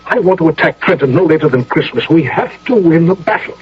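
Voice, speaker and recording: An actor playing a seasoned older general in a radio drama, speaking with formal, measured authority. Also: male voice, a little high, expressive, breathy edge. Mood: troubled, insistent. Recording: dull, noisy old radio recording.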